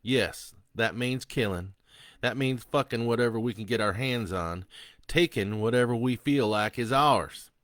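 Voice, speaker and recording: A man's voice: mid-range voice, measured pace, fairly bright tone, dry recording, voice pushed up, natural voice. A man voicing a crude, gloating male killer on death row who mocks a priest. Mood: preaching. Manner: taunting, vulgar, mocking.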